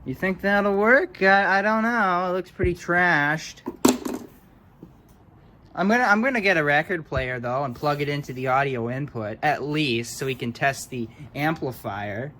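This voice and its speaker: Casting: male hobbyist repairer, lightly raised pitch, casual muttered demo style, faint background noise. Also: unhurried, sharp tone. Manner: offhand, muttering to himself, mild swearing. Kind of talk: casual hands-on demonstration commentary.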